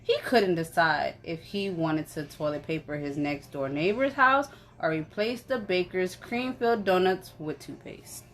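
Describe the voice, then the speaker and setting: A woman reading a story aloud in an animated, conversational way, as if for children. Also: on the low side, a little slow, hardly any pauses. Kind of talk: expressive children's story narration.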